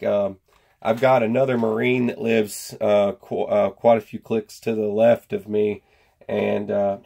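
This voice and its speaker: A man's voice: on the low side, vocal fry, mellow. An American man giving a casual, rambling product chat to camera in folksy slang. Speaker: survival gear vlogger. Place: indoor workshop at a workbench.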